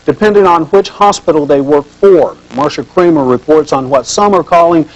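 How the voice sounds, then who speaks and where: Guy anchoring the evening news, voice a bit high, dull old tv recording. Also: normal speed. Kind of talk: scripted news presenting. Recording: dull lo-fi TV newscast tape.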